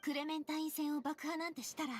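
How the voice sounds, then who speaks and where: Game casting: actress as determined young female leader, high-pitched, rushing through commands with resolve. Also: flat delivery, soft background music, lightly acted. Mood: grave. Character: determined young woman leader giving urgent orders. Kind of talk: Japanese game voice acting.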